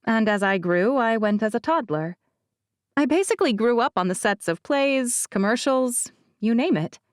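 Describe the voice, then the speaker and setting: Woman as a guarded young actress, higher-pitched voice. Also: lively intonation, moderate pace, clean tone, dry recording, studio quality, close to an everyday voice. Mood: reflective. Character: guarded, self-effacing young actress recounting her childhood. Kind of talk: audiobook character dialogue.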